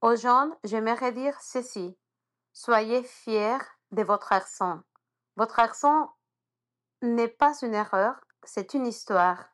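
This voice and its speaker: A woman's voice: a little high, slow, a bit bright. A woman immersion teacher from Honduras, Spanish her first language, with an accent that carries traces of Spanish and English, speaking in an earnest and encouraging way. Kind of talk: prepared personal message.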